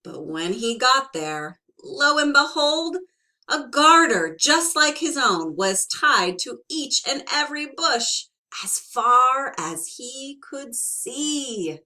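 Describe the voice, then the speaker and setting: Young woman teacher, storybook read-aloud, slow and expressive. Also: high voice, low-quality recording. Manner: slow and expressive, asks the listeners questions.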